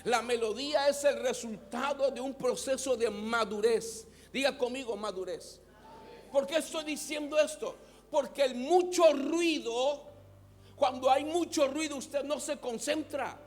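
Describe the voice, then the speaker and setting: High-voiced Mexican pastor preaching slowly. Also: male voice. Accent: Mexican Spanish. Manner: preaching, has the congregation repeat words. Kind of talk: sermon.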